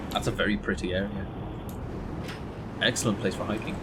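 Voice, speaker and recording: A man's voice: on the low side, fairly even intonation, brisk, very noisy. A man from the Netherlands giving casual live commentary while streaming a driving game, chatting with viewers and laughing at his own crashes.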